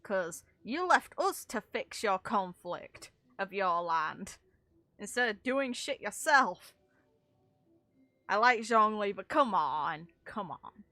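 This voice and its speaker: A woman game streamer giving casual, animated, unscripted reactions in informal, sweary language. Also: mid-range voice, slow, no room sound.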